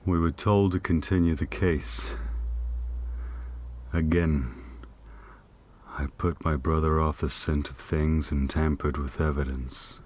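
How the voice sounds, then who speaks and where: A man playing a guilt-ridden corrupt policeman in an audio drama, speaking haltingly and stammering as he confesses. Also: a bass voice, fairly even intonation, full character voice. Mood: heavy, guilty confession. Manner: halting, stammering, repeating himself. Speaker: voice actor in a fan-made audio drama.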